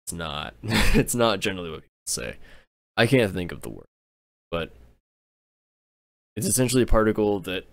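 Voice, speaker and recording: A man's voice: deep voice, no room sound. A man with an American accent rambling casually to his viewers while livestreaming a game, often restarting and correcting himself. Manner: rambling, self-interrupting, chatting with viewers. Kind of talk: casual gaming livestream chat.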